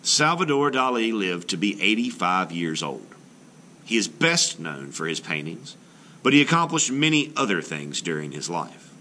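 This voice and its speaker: A man reading a children's book aloud as an art teacher, in an expressive, explanatory way meant for children. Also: deep voice, moderate pace, a little hiss or hum.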